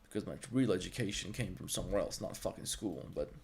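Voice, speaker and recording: A man with a slightly low voice and a Balkan accent talks through a 3D modeling livestream. He is blunt and swears freely. His English is fluent, though his first language is Serbo-Croatian. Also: animated, quick pace, gravelly, darkish tone, husky. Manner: blunt, profane, rambling. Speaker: 3D artist and streamer. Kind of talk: livestream tutorial with chat.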